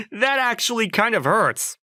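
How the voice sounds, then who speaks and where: A man's voice: high voice, bright, forceful tone, dry recording, clean studio sound, full character voice. A man playing an awkward, modest young working man in a romcom manga dub, musing dryly and hesitantly about himself. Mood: flustered, teasing. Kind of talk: manga dub voice acting with inner monologue.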